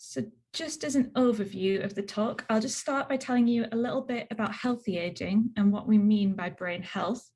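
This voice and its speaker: A woman's voice: medium pitch, normal speed, few pauses, darkish tone. A young woman from northeast England with a Northeast English accent, giving a research talk over a video call, chatty and plain-spoken. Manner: chatty, plain explanations with frequent "so" and "um".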